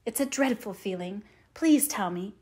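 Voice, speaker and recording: A woman's voice: fairly high pitch, animated, brisk, natural voice. A woman voicing an eager, dreamy orphan girl who talks earnestly and effusively, as in an audiobook. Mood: imploring. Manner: effusive, earnest, dramatic phrasing. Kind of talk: audiobook character voice.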